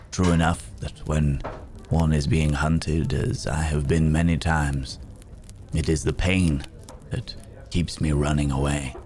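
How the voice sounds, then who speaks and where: A man acts out a weary werewolf wanderer in an intimate ASMR roleplay. His voice is extremely low and he speaks slowly, musing in hesitant, broken phrases like a philosophical travelling companion. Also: a little mellow, heavily acted. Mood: reflective, tender.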